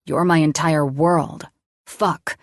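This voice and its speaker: A woman voicing a heated, wounded young male doctor who growls and swears in a lowered voice. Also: fairly even intonation, slow, no room sound, clean studio sound. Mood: pleading, angry.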